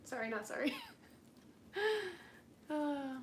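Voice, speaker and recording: A young woman with a slightly high voice chats on a home live stream. She rambles in a bubbly, giggly way, filling her talk with "like". A faint background hiss sits under her voice. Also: fairly even intonation, slow. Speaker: plant channel host. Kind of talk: casual live stream chat.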